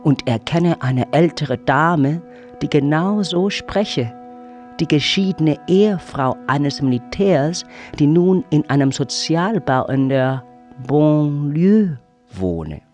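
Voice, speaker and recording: A man narrating a radio drama in a measured storytelling manner. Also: on the high side, lively intonation, darkish tone. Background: music.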